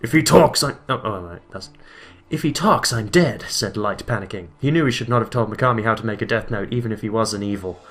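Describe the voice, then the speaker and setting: A man giving a comedic dramatic reading of a bad fan fiction, with loud voiced quotes. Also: lowish voice, fairly quick, hardly any pauses. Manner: reads deadpan, breaks into laughter, voices quotes loudly.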